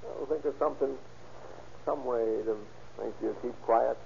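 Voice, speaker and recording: Actor as gravelly, bitter husband in radio drama. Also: male voice, mid-range voice, fairly even intonation, normal speed, a little mellow, lightly acted. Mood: enraged, menacing. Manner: querulous, accusing. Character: bitter, paranoid, volatile jobless husband. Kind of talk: 1930s radio drama acting. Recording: muffled, noisy old radio recording.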